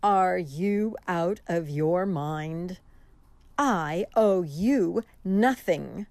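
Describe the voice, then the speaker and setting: A woman's voice: fairly low pitch, expressive, extremely slow, a little mellow, no echo, full character voice. A woman playing a haughty, penny-pinching customer, curt and scolding, in a radio mystery drama. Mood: indignant.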